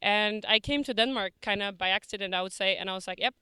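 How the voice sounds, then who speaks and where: A woman's voice: medium pitch, fast talker, bright, forceful tone, clear, resonant tone, no room sound. A young woman from Poland, chatting casually in a street interview with frequent laughs and fillers.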